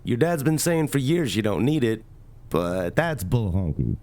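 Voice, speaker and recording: A man's voice: mid-range voice, sing-song, a little slow, dark tone. A man playing a fun, flamboyant, affectionate middle-aged uncle in a comic dub, jaunty and playful.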